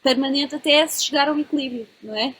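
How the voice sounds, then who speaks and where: A woman's voice: high voice, fast talker, a bit bright. A woman in her thirties with a European Portuguese accent, chatting in a panel discussion and often checking in with tag questions. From Belgium (Brussels), Portuguese family. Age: 30s.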